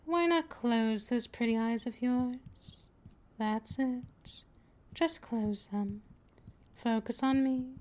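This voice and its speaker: Casting: actress as a possessive female vampire mistress for ASMR audio, higher-pitched, very unhurried, hypnotic and dominant. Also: darkish tone. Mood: soothing, hypnotic. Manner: slow, coaxing, soothing, often drifting into whispers. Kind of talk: scripted ASMR roleplay, hypnotic monologue.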